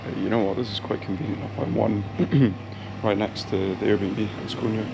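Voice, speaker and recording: A young man with a low, gravelly voice talks casually to the camera while he walks. He thinks out loud and fills gaps with ums. Loud street traffic and town bustle come through the action camera recording. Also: fairly even intonation, moderate pace, highs cut off. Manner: chatty, thinking aloud with many ums. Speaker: travel vlogger. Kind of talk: casual vlog chat while walking. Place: outdoor town streets and a bakery. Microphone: action camera mic. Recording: noisy outdoor street recording. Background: street traffic and town ambience.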